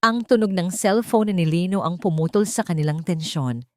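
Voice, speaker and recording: A woman's voice: lowish voice, normal speed, a little mellow, no echo. A woman with a Filipino accent reading scripted, dramatic narration of a romance story.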